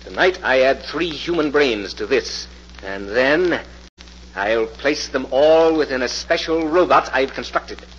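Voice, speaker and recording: Pompous evil mad scientist in an old radio thriller, big swoopy pitch, muffled noisy recording. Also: male voice, lively intonation, measured pace, slightly rough. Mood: grandiose menace. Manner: pompous, declamatory. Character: megalomaniac mad professor, grandiose, cruel and commanding. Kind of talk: 1940s radio thriller acting.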